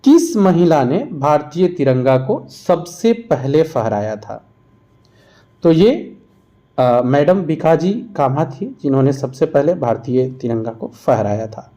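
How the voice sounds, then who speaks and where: A man's voice: on the high side, animated, takes it slowly, dark tone. A man teaching an exam-prep lesson, reading out questions and explaining the answers. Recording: dull, muffled lo-fi sound.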